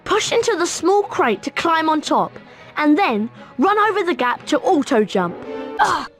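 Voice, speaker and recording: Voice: high voice, very animated, unhurried, fairly bright tone, soft background music, heavily acted. An actor playing a friendly, eager young British boy wizard who guides a friend in a video game. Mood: eager, wary.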